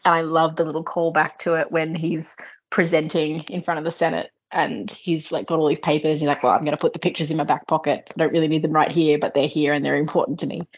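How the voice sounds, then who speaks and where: A woman with a notably low voice shares her impressions of a book in an informal, appreciative way. She speaks as one of a discussion panel. The sound is a little dull and lo-fi. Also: fairly even intonation, quick pace. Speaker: podcast panelist.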